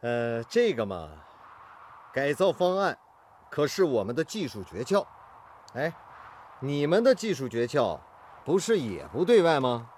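An actor playing a determined, good-natured factory master technician in a radio drama, earnest and full of sighing interjections. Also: male voice, mid-range voice, very animated, unhurried, pauses often, a little mellow, a little hiss or hum. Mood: courteous, confident.

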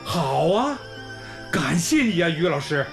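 A man's voice: fairly high pitch, big pitch swings, a little slow, vocal fry, exaggerated voice. An actor playing a kindly old village school principal in a radio drama, effusive and homely, sighing and exclaiming as he talks. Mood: warm, welcoming. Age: older, around sixties. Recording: music bed under the voice.

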